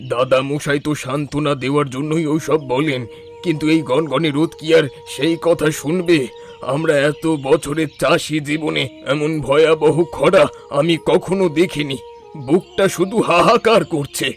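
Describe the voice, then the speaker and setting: Man as careworn farmer, despairing, middle-aged, slightly high pitch, moral cartoon. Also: steady tone, normal speed, natural voice. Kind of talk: animated moral story voice acting. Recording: faint music behind the voice.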